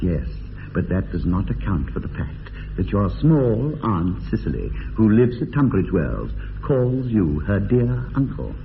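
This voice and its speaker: An actor playing an idle, witty, teasing young upper-class English bachelor in a radio comedy of manners, with arch, polished phrasing. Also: male voice, on the low side, very animated, a little slow. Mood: amused, probing. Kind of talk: radio drama acting of period comedy. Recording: tinny band-limited radio, long reverb, hiss.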